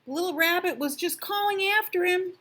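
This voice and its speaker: An older woman reading a children's picture book expressively, gentle and drawn-out, hushed in places. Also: extremely high pitch. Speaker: storyteller.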